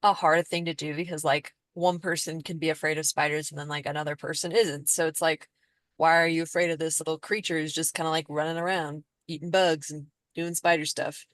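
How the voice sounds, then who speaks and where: A young woman with a low-pitched voice, chatting on a student podcast. Her talk is casual and rambling, full of "like", recorded dry and close. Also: little pitch movement, a little fast, dry recording. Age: young adult. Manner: rambling, peppered with "like". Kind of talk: casual chat.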